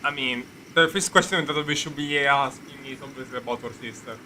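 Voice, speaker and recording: A man giving casual live commentary as he plays a game, halting and repetitive, swearing freely. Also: mid-range voice, pushed and bright, a little hiss or hum, poor audio. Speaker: game streamer.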